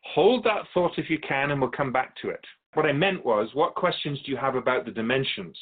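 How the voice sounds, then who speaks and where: A man playing a calm otherworldly being in a radio drama interview, explaining humanity to humans in a measured, knowing, didactic way. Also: mid-range voice, narrow, tinny audio, natural voice. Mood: assured, teaching.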